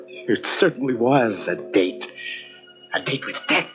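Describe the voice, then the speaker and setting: A man's voice: mid-range voice, expressive, unhurried, music in the background, natural voice. An actor playing an obsessed, half-mad pianist who lost his hand, intense and theatrical in a vintage radio mystery. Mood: brooding. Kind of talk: vintage radio mystery acting with first-person narration. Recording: muffled vintage radio drama on cassette.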